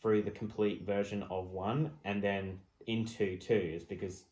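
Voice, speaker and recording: A man's voice: fairly low pitch, level tone, unhurried, darkish tone. A man co-hosting a podcast, giving his opinions in casual discussion. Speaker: podcast co-host. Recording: echoey, roomy sound.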